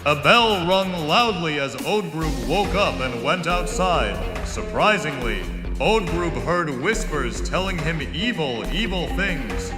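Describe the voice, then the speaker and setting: Slow guy narrator, slightly high voice, big echoey hall, music under. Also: expressive. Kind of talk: scripted, dramatic story narration.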